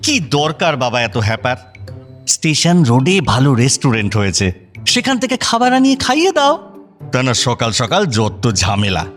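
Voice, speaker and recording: A man's voice: mid-range voice, big pitch swings, moderate pace, fairly bright tone, faint music behind. A man playing a flustered, grumbling middle-aged father in an audio drama, hesitant and complaining.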